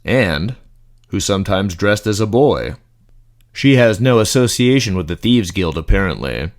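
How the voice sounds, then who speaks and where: A man playing a male dark elf general, composed, commanding and formally courtly, in a fantasy audio drama. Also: lowish voice, expressive, unhurried. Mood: measured, guarded.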